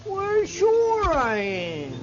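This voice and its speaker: An actor playing a tipsy, comic old saloon swamper with a cracked voice and folksy rural American speech in a 1950s radio western. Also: male voice, huge pitch swings, full character voice. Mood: tipsy bragging. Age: older. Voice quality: high, cracked old-timer voice. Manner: halting, drawn-out, folksy. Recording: dull vintage radio recording with music.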